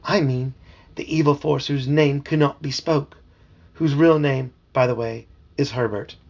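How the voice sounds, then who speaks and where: A man's voice: medium pitch, steady tone, unhurried, creaky, a little mellow. A dad reading as a bossy know-it-all girl. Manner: matter-of-fact, lecturing.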